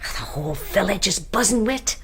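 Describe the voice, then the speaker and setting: An actress playing a plain-spoken, devout village housekeeper in a period radio drama, with a Scottish accent and homely rustic dialect. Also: female voice, medium pitch, lively intonation, fairly quick, vocal fry, noisy background. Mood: homely, confiding.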